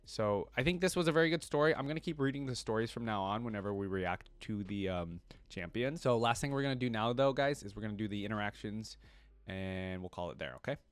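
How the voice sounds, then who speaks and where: A man's voice: lowish voice, lively intonation, fast, smooth, dry recording. A man giving informal, casual fan commentary as a reaction video host. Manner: informal, peppered with "like" and "you know".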